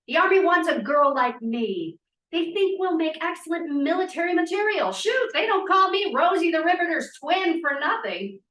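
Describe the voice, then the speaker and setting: A woman's voice: very high-pitched, lively intonation, normal speed, a bit bright. Outspoken 1940s young feminist woman actor, echoey room. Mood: defiant. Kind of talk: script read-through acting. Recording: distant, echoey room microphone.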